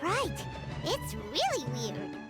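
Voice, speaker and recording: Game role: childlike little fairy, very high squeak, perky, eager, bouncy intonation. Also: female voice, wildly swooping pitch, takes it slowly, full character voice. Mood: puzzled. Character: tiny, perky, childlike floating fairy companion. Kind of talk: English video game voice acting. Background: music.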